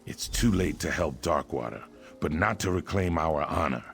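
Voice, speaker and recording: A man playing a proud, aggrieved reptilian warrior in a video game, speaking in a solemn, deliberate way. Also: a bass voice, lively intonation, gravelly, full character voice. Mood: bitter, proud. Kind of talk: video game character dialogue. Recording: music behind the voice.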